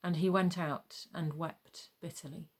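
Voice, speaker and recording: A woman narrating a dramatised Bible reading in a measured, formal storytelling manner. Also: fairly low pitch, steady tone.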